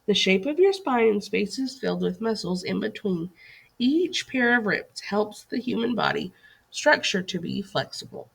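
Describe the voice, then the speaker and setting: Lively woman teacher with a creaky edge. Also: mid-range voice, measured pace. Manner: instructive, with questions put to listeners. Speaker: teacher reading a lesson aloud. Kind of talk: scripted read-aloud lesson for young children.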